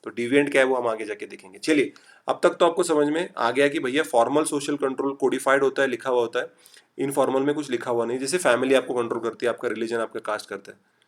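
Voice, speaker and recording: A man from Maharashtra with a slight Marathi accent, teaching a live online class in a chatty style and mixing in English. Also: medium pitch. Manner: chatty, reads out students' typed replies; urges quick answers, mixes English. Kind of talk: online lecture.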